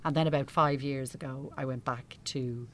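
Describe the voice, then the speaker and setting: A woman's voice: extremely low pitch, fairly even intonation, moderate pace, fairly bright tone, slight background noise. A middle-aged Irish woman chatting casually as an interview guest, telling anecdotes in a self-deprecating way. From Ireland. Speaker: interview guest, amateur actor.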